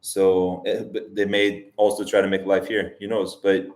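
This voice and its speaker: A man around forty hosts a casual live Q&A, reading out viewer questions. His voice sits fairly low, and he rambles and restarts as he thinks things through aloud. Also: level tone, moderate pace, darkish tone. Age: 40s. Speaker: livestream host. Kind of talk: casual livestream Q&A. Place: lives in Colombia as an immigrant.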